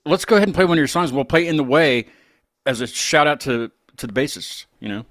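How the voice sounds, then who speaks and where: A man's voice: a little high, expressive, brisk, a bit bright. A man hosting a casual music interview, chatting in a playful, joking way. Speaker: show host.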